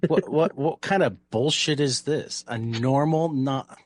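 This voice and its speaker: Man playing a slow-spoken, boastful insecure fool. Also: medium pitch, no echo, pitched above the performer's own voice, natural voice. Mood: indignant boasting. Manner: indignant, stammering, self-deprecating. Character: boastful self-styled genius turned sulking, insecure fool.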